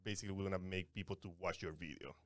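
A man from Venezuela with a Venezuelan Spanish accent, a wedding content creator guesting on a podcast, speaking halting English with restarts on a studio mic. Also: sits low, a bit bright, no room sound. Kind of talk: casual podcast interview. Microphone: studio mic on boom arm, headphones.

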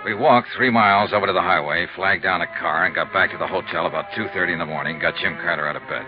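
An actor in a 1950s radio drama playing a no-nonsense insurance investigator with a clipped, businesslike manner. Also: male voice, deep voice, fast, loud music behind the voice, close to an everyday voice. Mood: brisk, matter-of-fact. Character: brisk, probing, no-nonsense male insurance investigator. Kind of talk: 1950s radio acting, dialogue and narrated report. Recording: muffled, noisy 1950s radio recording.

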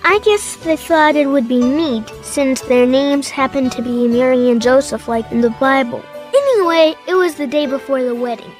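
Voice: high voice, moderate pace, hardly any pauses, faint music behind, natural voice. A performer playing an earnest, chatty eight-year-old boy who tells his story plainly in a radio drama. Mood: earnest narrating.

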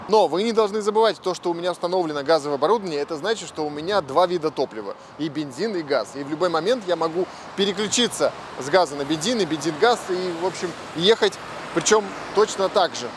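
A man's voice: a little high, slight background noise. Chatty man explaining his car engine. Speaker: car vlogger. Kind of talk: casual car vlog explanation. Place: car service garage, indoors, and outdoors near car.

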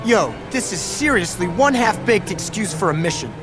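Brash, high-pitched streetwise teen boy. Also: very animated, normal speed, over music. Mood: indignant. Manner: slangy, blunt, punchy. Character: brash, hot-headed streetwise teenage boy.

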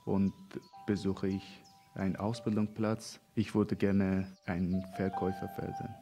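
A young man from Afghanistan whose first language is Dari, speaking German slowly and haltingly with an Afghan accent in a small radio studio. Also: deep voice, level tone, mellow, soft background music. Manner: slow, halting speech with broken grammar. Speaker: interviewee. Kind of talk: interview answers. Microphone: studio mic on a boom arm.

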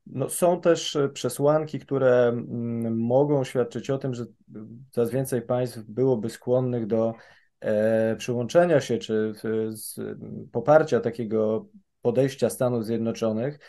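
A man in his thirties gives careful expert commentary, often pausing with fillers and restarting his sentences. His voice is mellow and dark-toned, sitting at an ordinary pitch. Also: fairly even intonation. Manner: hesitant, many fillers and restarts. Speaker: policy analyst guest.

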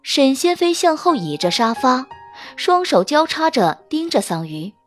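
A woman narrates a romance audiobook in a smooth, expressive storytelling manner. Her voice is high and very lively in pitch, with soft music running under it. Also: a little slow. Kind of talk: scripted narration of a romance novel.

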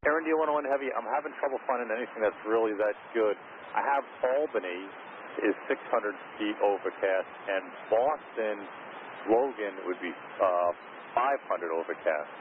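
A man's voice: lowish voice, normal speed. A man working as an air traffic controller, giving informal instructions over aviation radio. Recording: narrow tinny radio transmission, heavy static noise.

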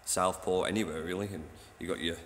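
A man who cleans a train station, giving a casual walking tour on his phone, chatty and rambling, with a deep voice. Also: steady tone, a little fast, slight background noise. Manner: chatty, rambling, says "you know what I mean". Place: old train station toilets and platforms at night. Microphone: handheld phone.

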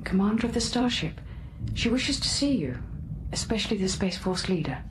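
An actress playing a charming, helpful hologram guide in a radio drama, composed and instructive. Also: female voice, medium pitch, sing-song, moderate pace, noisy background, close to an everyday voice.

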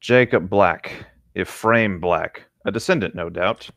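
A man's voice: low voice, a little slow, gravelly, fairly bright tone, no echo, studio quality. A man chatting casually and reading a novel aloud with friends as a podcast co-host on a voice call. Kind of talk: casual chat and group read-aloud of a novel. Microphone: close mic on an online voice call.

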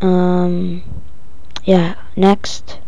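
A female-sounding speaker giving a casual, halting, improvised step-by-step software tutorial. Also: medium pitch, monotone, vocal fry. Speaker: tutorial presenter. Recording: dull lo-fi home recording.

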